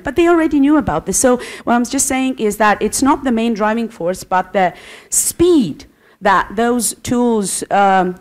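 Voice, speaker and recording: A woman making an audience comment at a conference, speaking hesitantly with many ums. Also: mid-range voice. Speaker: audience member.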